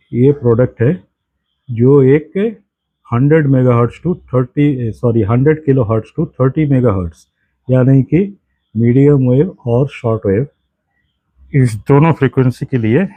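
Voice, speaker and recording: An Indian-accented man presents a hands-on product demonstration in a halting, explanatory way, often repeating himself. His pitch is average for a man. The sound is dry and close, with a dull lo-fi tone. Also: husky, dry recording. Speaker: presenter of a radio hobby channel.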